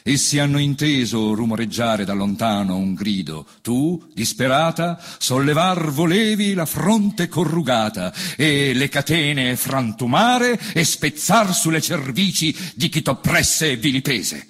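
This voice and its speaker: An actor reciting as a proud patriot poet, with grave, measured declamation in a stage verse recital. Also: male voice, medium pitch, animated, fairly bright tone, breathy edge. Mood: grave, indignant. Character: Giuseppe Garibaldi, proud patriot poet addressing Italy. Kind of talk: dramatic poetry recitation over band music. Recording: prominent band music under the voice.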